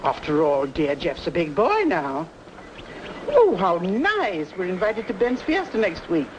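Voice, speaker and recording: A woman's voice: fairly low pitch, huge pitch swings, measured pace. An actress playing a chatty, scatterbrained, doting lady in an old-time radio drama, with a fluttery manner. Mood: breezy, scatterbrained. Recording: dull, noisy old radio recording.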